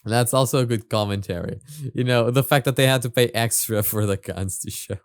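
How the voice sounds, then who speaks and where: A man hosting a reaction video, commenting casually and thinking out loud in a halting, hesitant way. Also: mid-range voice, clean tone, no room sound, clean studio sound.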